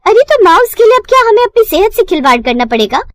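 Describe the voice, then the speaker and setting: Woman doing a high, whiny college girl. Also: very animated, fast, no room sound, clean studio sound. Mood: complaining. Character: young female college student, outspoken, complaining sister-in-law. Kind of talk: animated moral story acting.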